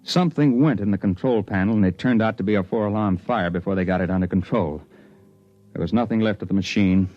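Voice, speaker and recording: An American actor playing a stubborn, suspicious investigator in a 1950s radio drama. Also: male voice, lowish voice, quick pace, close to an everyday voice. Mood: grim narration. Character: hard-boiled male investigator, stubborn, suspicious and driven. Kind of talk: 1950s radio drama acting and first-person narration. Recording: vintage 1950s radio, muffled, faint music.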